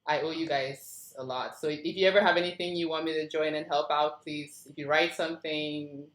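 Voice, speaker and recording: Low-pitched woman in an echoey room. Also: fairly even intonation, brisk. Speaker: writer hosting a script table read feedback session. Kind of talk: casual group discussion.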